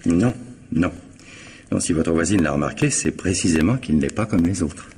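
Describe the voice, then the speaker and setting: An actor playing a dry, probing police commissioner in a French radio mystery, insistent and insinuating. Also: male voice, deep voice, lively intonation, normal speed, a little mellow, husky, heavily acted. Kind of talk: 1950s-style French radio mystery acting.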